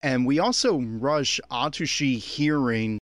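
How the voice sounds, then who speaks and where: A man's voice: mid-range voice, lively intonation, slow pace, no echo, studio quality. A man giving an unscripted running commentary in a critical, exasperated, opinionated manner. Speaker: commentator on an anime episode.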